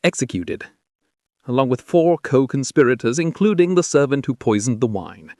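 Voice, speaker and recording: A man's voice: medium pitch, lively intonation, moderate pace, darkish tone, no echo, studio quality, pitched above the performer's own voice, close to an everyday voice. Impassioned, commanding king, acted by a male narrator. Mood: grim. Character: fierce, anguished, devoted medieval king in love.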